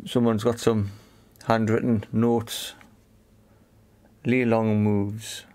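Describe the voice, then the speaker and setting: A man's voice: fairly low pitch, level tone, drawn-out words, darkish tone. A man giving casual, unscripted commentary on a hands-on repair, thinking aloud in short phrases. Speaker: host of an electronics repair video.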